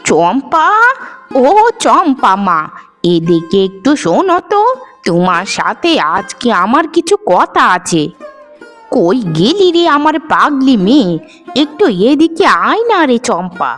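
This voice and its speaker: Woman acting an effusive old mother, lively high voice. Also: faint music behind. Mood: affectionate. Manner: emotional, drawn-out address, repeats phrases. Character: elderly village mother and mother-in-law, sentimental, effusive. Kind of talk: Bengali cartoon voice acting.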